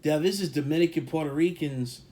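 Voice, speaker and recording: A man's voice: on the high side, brisk, warm tone, slight background noise. A man talking casually as a podcast co-host, emphatic and rambling, repeating points for stress. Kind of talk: casual opinion talk.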